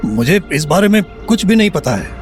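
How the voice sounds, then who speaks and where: A man playing an older, anxious father in an audio drama, measured and placating. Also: sits high, sing-song, soft background music. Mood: nervous, pleading. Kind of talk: Hindi audio drama acting.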